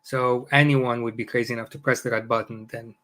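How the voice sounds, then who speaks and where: A man's voice: mid-range voice, flat delivery, brisk, a bit bright. A middle-aged man from Iraq, a native Arabic speaker, chatting casually as a podcast guest, jokey and full of hesitant fillers. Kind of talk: casual conversation.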